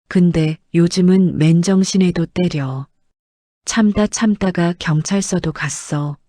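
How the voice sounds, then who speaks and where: Woman playing grown daughter pleading to dad in an audio drama, plaintive, lowish dark voice. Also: no echo, clean studio sound. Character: deceitful adult daughter pleading tearfully to her father.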